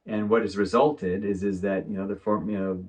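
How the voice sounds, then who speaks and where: A man's voice: lowish voice, little pitch movement, normal speed, darkish tone. A man, an architect guest, talking thoughtfully in a rambling way with many fillers in a casual conversation. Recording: distant from the mic.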